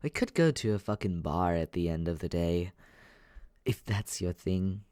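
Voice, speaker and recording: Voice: low voice, lively intonation, normal speed, mellow, clear, resonant tone, no echo. An actor voicing a teasing but caring young companion in an intimate, conversational ASMR comfort roleplay, hesitant and reassuring. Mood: musing, caring.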